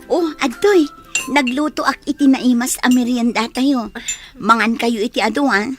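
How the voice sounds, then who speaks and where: A woman's voice: a little high, moderate pace, soft background music, close to an everyday voice. A woman with an Ilocano accent playing a cheerful, hospitable young village woman in a radio comedy-drama.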